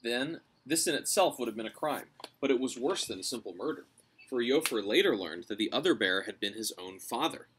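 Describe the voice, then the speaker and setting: A man's voice: mid-range voice, animated, brisk, fairly bright tone, slight background noise, rough recording. An American man in his thirties narrating a children's novel, reading aloud on a porch. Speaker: storybook reader. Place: covered house porch, outdoors.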